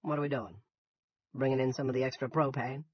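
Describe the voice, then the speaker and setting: A man's voice: medium pitch, fairly even intonation, a little fast, breathy edge, muffled recording, voice pushed up, lightly acted. A man voicing a barking, folksy but deferential chicken farmer with a thick New England Yankee accent in an audiobook. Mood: respectful.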